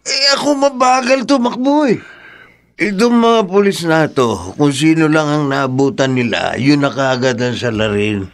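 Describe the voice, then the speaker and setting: A man's voice: a little high, unhurried. A man playing an indignant jailed father in a radio drama, with a Filipino accent and an emphatic, defensive manner. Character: indignant jailed father protesting his innocence.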